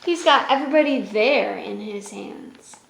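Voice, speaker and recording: A woman's voice: mid-range voice, unhurried, vocal fry, a little hiss or hum. A woman hosting a children's story time, reading aloud and explaining in a simple way. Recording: roomy echo with music.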